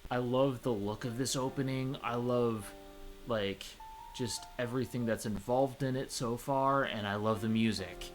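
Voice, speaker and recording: Man, game streamer, reads story text aloud, expressive, chatty, casual swearing, average pitch, soft music underneath. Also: measured pace. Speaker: let's play streamer. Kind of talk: reading a visual novel aloud with reactions.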